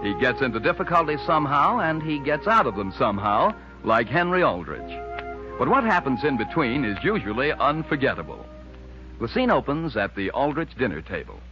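A man's voice: medium pitch, very animated, fairly quick, muffled recording, over music, natural voice. A man with an American accent narrating in a 1940s radio style on an old recording. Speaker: announcer narrator.

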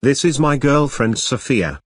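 A man voicing a young cartoon businessman in a slow, scripted language-lesson dialogue, saying each line clearly and twice. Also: medium pitch, lively intonation, dry recording, clean studio sound. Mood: plain.

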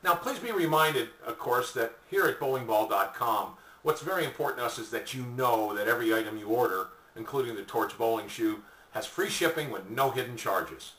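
An older man giving a folksy, conversational sales pitch to camera as a product presenter, seated in a small room. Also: animated, fairly quick, fairly bright tone. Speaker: product presenter for an online shop. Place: small indoor room at home or office, seated. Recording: echoey room, distant mic.

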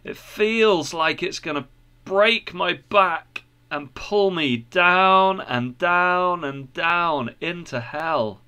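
A man acting out an anguished, high-pitched pilgrim. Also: drawn-out words, pushed and bright, clear, resonant tone, heavily acted. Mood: despairing. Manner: pleading, repetitive. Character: anguished, burdened man seeking eternal life.

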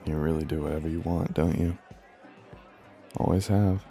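Flirty, commanding former professor, acted by a man: extremely deep, creaky, breathy delivery for intimate ASMR roleplay. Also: fairly even intonation, moderate pace, mellow, faint music behind, a faint crowd. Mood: hesitant, flirtatious. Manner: breathy, murmured, teasing, often dropping to near-whisper.

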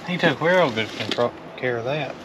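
A man's voice: animated, moderate pace. A man in his thirties chatting casually in short, offhand remarks while sorting through boxes. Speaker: storage unit reseller. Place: open storage unit doorway, outdoors. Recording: noisy outdoor camera audio, vehicle rumble.

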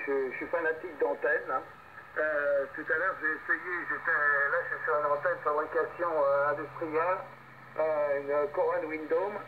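A tinny radio signal carries an older man with a slightly high voice. He chats casually as an amateur operator, in halting, hesitant phrases, with faint noise behind. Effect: two-way radio. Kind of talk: casual ham radio exchange. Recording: tinny noisy radio link.

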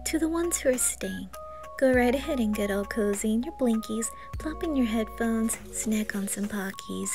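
A woman's voice: fairly high pitch, animated, normal speed, creaky, over music. A woman introducing her ASMR roleplay to listeners as its creator.

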